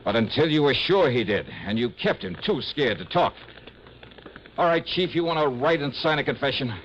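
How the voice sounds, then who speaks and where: An actor playing a tough, shrewd American insurance investigator in vintage radio noir, moving between wry narration and urgent dialogue. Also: male voice, medium pitch, expressive, moderate pace, fairly bright tone, slight background noise, close to an everyday voice. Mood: stern. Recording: muffled old radio recording.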